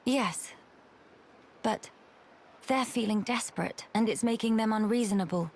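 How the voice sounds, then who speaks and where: A woman playing a dignified young noblewoman in a video game, speaking in a measured, formal, earnest way. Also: on the high side, darkish tone, a little hiss or hum. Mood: grave, sympathetic. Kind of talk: dramatic video game voice acting.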